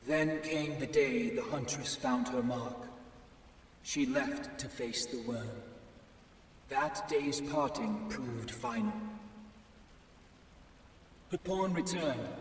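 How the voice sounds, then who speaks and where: An actor voicing a man who recalls his orphaned youth and lost love in a slow, grave game lore monologue, with a distant, long echoing reverb. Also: medium pitch, close to an everyday voice. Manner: slow, grave, reflective narration.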